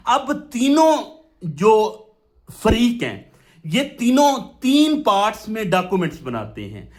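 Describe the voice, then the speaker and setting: A Pakistani man teaches in an explanatory, didactic style. His voice sits high for a man and swings widely in pitch as he puts questions and answers them himself. He slips English technical terms into his explanations. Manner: switches between Urdu and English legal terms. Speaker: law lecturer. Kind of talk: explanatory law lesson with worked examples.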